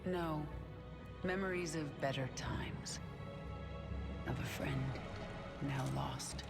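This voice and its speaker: A woman's voice: low-pitched, takes it slowly, creaky, over loud music, exaggerated voice. An actress playing a vengeful, wounded warrior queen of an alien swarm in a video game, commanding and brooding. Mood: bitter, sorrowful. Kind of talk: dramatic video game acting.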